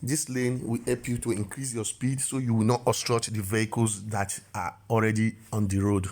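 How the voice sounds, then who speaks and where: Man explaining driving rules, often restarting. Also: on the low side. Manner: repetitive, self-correcting, stammers on restarts. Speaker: driving instructor. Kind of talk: explanatory voice-over lesson.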